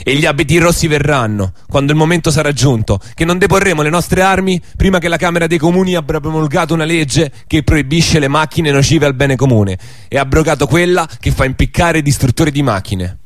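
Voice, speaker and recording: A man's voice: fairly high pitch, brisk, hardly any pauses, dry recording, natural voice. Stern male rebel chief played by an actor, declamatory and menacing, dry radio-drama recording. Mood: solemn defiance. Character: defiant male rebel leader reading out a threatening manifesto.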